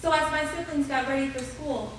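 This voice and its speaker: A reminiscing female storyteller played by an actress narrating a family radio play. Her high voice comes from a distance on a very echoey stage. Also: fairly quick, close to an everyday voice. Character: woman narrator recalling her nervous childhood. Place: theater stage before live audience. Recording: echoey noisy live stage, distant mic.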